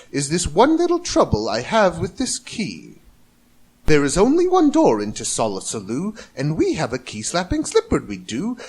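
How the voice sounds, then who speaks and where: A man's voice: high-pitched, darkish tone, husky, exaggerated voice. A man voicing a kindly but fretful storybook doorman in an effusive singsong. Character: friendly, kindly doorman of a dream city, fretful. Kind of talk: storybook character voice.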